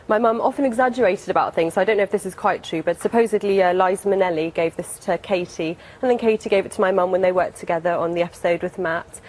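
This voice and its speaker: A British woman in her twenties chatting casually as an interview guest, softening what she says as she goes. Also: fairly low pitch, fast talker, hardly any pauses, rough recording. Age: 20s.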